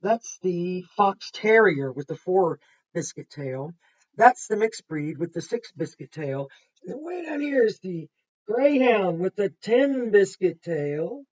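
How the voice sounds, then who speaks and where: A woman's voice: lowish voice, big pitch swings, slow, a little mellow. Woman teacher reading aloud, muffled lo-fi sound. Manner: explains and points things out simply to children. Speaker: kindergarten teacher. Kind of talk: read-aloud picture book lesson for young children.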